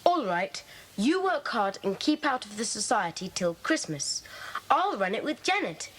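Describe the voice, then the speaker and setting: A woman's voice: a little high, very animated, measured pace. A woman playing a cheeky, argumentative schoolboy club member in a children's audio drama, outspoken and defiant. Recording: noisy old cassette.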